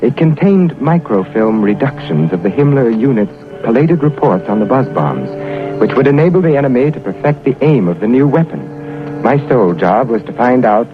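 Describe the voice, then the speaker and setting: An actor playing a self-assured, brusque British secret agent who narrates a 1940s radio drama. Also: male voice, medium pitch, lively intonation, moderate pace, close to an everyday voice. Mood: measured narration. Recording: muffled vintage radio recording with music.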